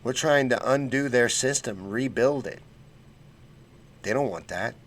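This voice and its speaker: A man's voice: medium pitch, measured pace, a bit bright. A man hosting a casual political livestream, reading chat comments and talking bluntly with fired-up conviction and folksy slang.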